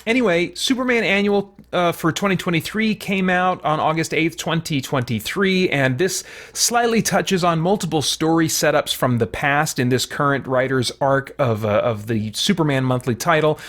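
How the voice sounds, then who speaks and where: A man with a moderately high-pitched voice hosts a casual review show. He speaks off the cuff in a discursive way, giving frank personal opinions as he goes. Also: moderate pace, hardly any pauses, fairly bright tone. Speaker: comics review show host. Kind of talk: casual unscripted comic book review.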